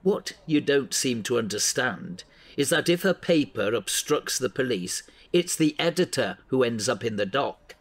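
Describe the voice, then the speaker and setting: A bossy newspaper editor in a radio play. He scolds bluntly and with authority, his exasperated voice swinging widely in pitch. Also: lively intonation, measured pace. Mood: stern. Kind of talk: audio drama acting.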